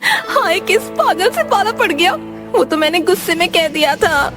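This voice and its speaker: A woman's voice: extremely high pitch, very animated, normal speed, music in the background. A woman voicing a bossy, exasperated village wife in a cartoon story, scolding and melodramatic. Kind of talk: Hindi cartoon story dialogue.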